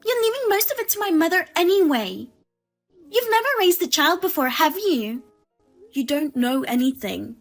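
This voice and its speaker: A woman voicing a demanding, scornful sister-in-law who accuses and mocks loudly. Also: very high-pitched, very animated, moderate pace, soft background music, voice pushed up, exaggerated voice. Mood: indignant. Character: shrill, demanding female sister-in-law, a mother.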